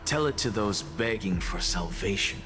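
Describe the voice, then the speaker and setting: A man playing a haughty, sharp-tongued professor in a video game, lecturing in an imperious, deliberate way. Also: fairly low pitch, lively intonation, close to an everyday voice. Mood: stern, lecturing. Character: haughty, sharp-tongued male professor, stern mentor to a student. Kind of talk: scripted game voice acting. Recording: music behind the voice.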